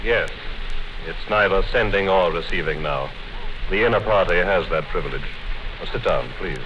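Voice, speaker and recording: Man acting a commanding low-voiced party official, old radio. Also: close to an everyday voice. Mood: composed, probing. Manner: measured, deliberate, authoritative. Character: calm, commanding high party official posing as conspirator. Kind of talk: 1950s radio drama acting. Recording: noisy, dull 1950s radio recording.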